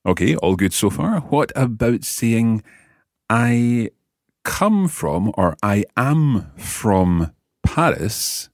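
A man hosting a spoken language lesson, prompting a learner and pausing for listeners in a slow, patient way. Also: lowish voice, a little mellow, no room sound, studio quality. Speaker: language course host.

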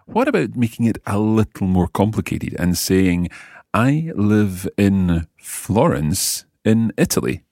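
A man hosting a spoken language lesson, prompting a learner and pausing for listeners in a slow, patient way. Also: on the low side, very animated, dark tone, dry recording, studio quality. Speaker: language course host.

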